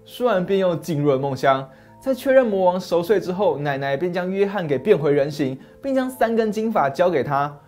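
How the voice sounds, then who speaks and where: A man in his thirties narrating a fairy tale and explaining it, steady and expressive. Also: high-pitched, fairly quick, faint music behind. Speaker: storyteller host. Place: dim study set, indoors. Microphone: lapel mic.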